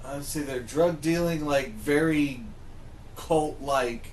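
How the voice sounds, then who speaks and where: A man's voice: a little high, takes it slowly. Roomy-sounding man in casual podcast chat. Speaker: podcast co-host. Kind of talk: casual chat about games and films. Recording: roomy echo, faint background noise.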